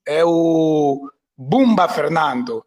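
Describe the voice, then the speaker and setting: A man's voice: a little high, lively intonation, drawn-out words, darkish tone. A man with an Angolan Portuguese accent, a pastor co-hosting a live Q&A, talking earnestly with many restarts. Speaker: pastor, co-host. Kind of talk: live Q&A chat. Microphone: video call.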